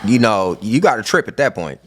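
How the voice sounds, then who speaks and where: A man's voice: lowish voice, sing-song, fairly quick. A man hosting a casual interview chat, talking loose and slangy with lots of laughs. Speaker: podcast host.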